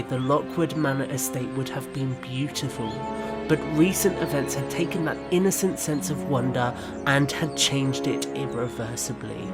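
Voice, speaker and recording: A man narrating a scripted audio drama in a measured, descriptive storytelling manner. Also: medium pitch. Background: background music.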